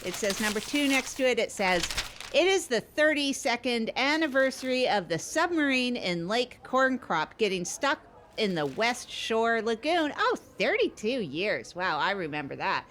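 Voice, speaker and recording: A woman's voice: on the high side, sing-song, normal speed, fairly bright tone. A woman playing an earnest, scatterbrained small-town podcast host who rambles and repeats herself matter-of-factly in improvised comedy banter. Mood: chatty.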